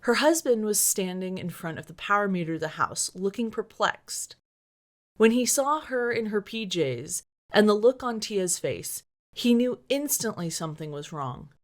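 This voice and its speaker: A woman's voice: mid-range voice, expressive, moderate pace. A woman author reading a novel aloud as dramatic scripted narration. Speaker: author reading own book.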